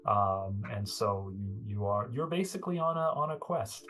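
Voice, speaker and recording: A man running a tabletop game as game master, chatty, laughing often and filling pauses with ums. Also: fairly low pitch, lively intonation, fairly bright tone.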